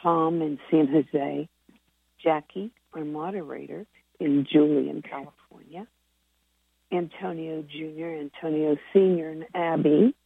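A woman's voice: low-pitched, steady tone, unhurried, gravelly, slightly rough, no room sound. A woman co-hosting a conference call, giving informal updates to listeners over the phone. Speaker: call co-host reading reports and healing list. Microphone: telephone. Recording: muffled, narrow phone conference line.

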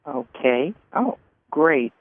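A man's voice: on the high side, expressive, very slow, dry recording. A man hosting a talk show and interviewing a guest, with frequent brief words of agreement. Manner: affirming, lots of brief acknowledgements. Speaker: radio show host. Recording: dry, tinny phone-like line.

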